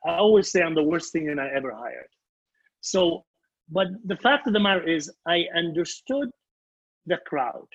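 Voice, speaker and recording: A man who is a native Arabic speaker, a band leader and singer telling anecdotes in an emphatic, self-deprecating way, repeating his phrases, with a husky voice. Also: a little high, expressive, normal speed. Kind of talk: animated anecdotal storytelling in conversation. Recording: dull, muffled lo-fi sound.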